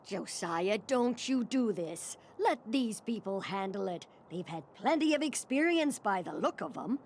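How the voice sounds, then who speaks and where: An actress playing a shopkeeper's older wife in a video game, worried and nagging. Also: female voice, mid-range voice, lively intonation, measured pace, darkish tone, a little hiss or hum, close to an everyday voice. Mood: worried, pleading. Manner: folksy, nagging. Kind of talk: video game character acting.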